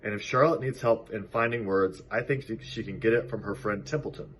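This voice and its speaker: A man's voice: on the low side, a little fast, fairly bright tone, close to an everyday voice. A man voicing an old sheep in a storybook, blunt and persuasive, reasoning firmly. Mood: confident. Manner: firm, reasoning.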